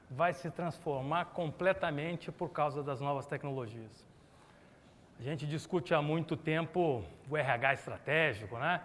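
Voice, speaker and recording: A middle-aged Brazilian man giving a chatty conference keynote, polling the audience with questions. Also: a little high. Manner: animated, chatty, asks the audience to raise hands. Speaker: conference speaker. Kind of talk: lively corporate keynote talk with audience questions. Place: conference hall. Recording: long reverb of a conference hall.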